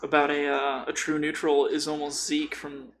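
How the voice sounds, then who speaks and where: A man's voice: on the high side, completely flat delivery, normal speed, a bit bright, a little hiss or hum. A man chatting casually and bluntly about tabletop role-playing, with strong opinions, swearing and slang. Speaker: podcast guest.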